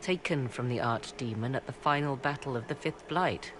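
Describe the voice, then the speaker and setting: A woman voicing an aloof, proud witch who speaks in a cool, measured, dryly ironic way. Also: extremely low pitch, background noise.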